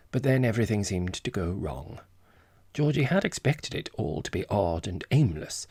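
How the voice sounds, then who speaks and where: A man's voice: lowish voice, gravelly, darkish tone, husky, no room sound. A man narrating a literary novel as an audiobook, reading from a script.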